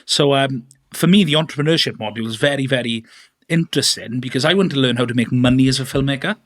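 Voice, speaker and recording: A man's voice: medium pitch, quick pace, a bit bright. A man in his thirties, a podcast guest telling his life story in a chatty, rambling way with lots of fillers and restarts. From Wales. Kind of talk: casual interview storytelling.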